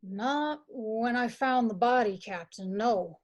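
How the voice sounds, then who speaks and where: A woman's voice: fairly high pitch, highs cut off. A woman playing a muddled, hesitant male amateur detective in an amateur radio-style mystery. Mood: flustered. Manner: halting, flustered.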